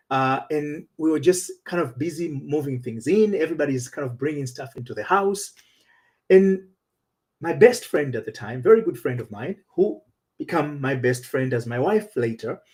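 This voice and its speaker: A man's voice: mid-range voice, a little mellow, husky. A middle-aged man, a relationship coach telling a personal story in an earnest, personal way. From Tanzania. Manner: earnest and personal, with frequent restarts. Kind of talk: storytelling talk show monologue.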